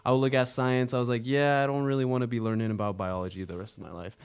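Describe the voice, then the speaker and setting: A nineteen-year-old man from Arizona with an American accent, chatting casually as an interview guest in an earnest, halting way. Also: mid-range voice, steady tone, smooth. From Arizona, United States. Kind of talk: casual chat.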